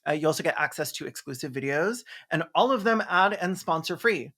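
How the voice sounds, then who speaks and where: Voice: medium pitch, expressive, fairly quick, sharp tone. A video host giving sarcastic, mocking movie commentary in an incredulous, campy and slangy way. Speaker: video host, film reviewer.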